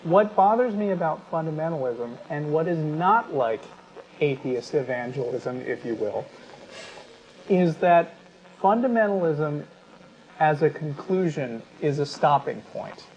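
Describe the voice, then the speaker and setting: A man with an American accent speaks as a talk show host, making an argument conversationally and often pausing mid-sentence. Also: a little high, animated, a little mellow, muffled recording, a little hiss or hum.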